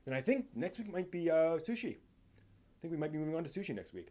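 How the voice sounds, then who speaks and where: Casual, halting male livestream host. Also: fairly high pitch, sing-song, warm tone. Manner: halting, thinking aloud, rephrasing mid-sentence. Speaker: live stream host. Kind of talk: casual unscripted talk to live viewers.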